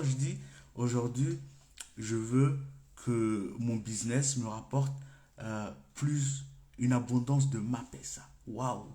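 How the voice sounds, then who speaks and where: A man's voice: medium pitch, a little mellow. A man whose first language is Comorian, giving an unscripted coaching talk to camera in French. He thinks aloud in an informal way, often stopping and restarting his phrases. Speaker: personal development coach. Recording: echoey room.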